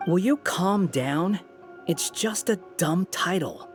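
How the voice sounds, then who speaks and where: A man's voice: sits high, expressive, slow. A male actor playing an aloof, irritable young hero in a video game, speaking with dismissive sarcasm. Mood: weary. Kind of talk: English video game voice acting. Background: game music.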